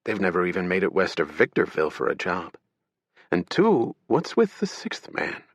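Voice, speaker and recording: A man's voice: sits low, huge pitch swings, normal speed, dry recording, exaggerated voice. A man voicing a sardonic male federal agent who jokes while talking shop. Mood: businesslike. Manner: sardonic, joking. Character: wisecracking male federal agent, buzz cut.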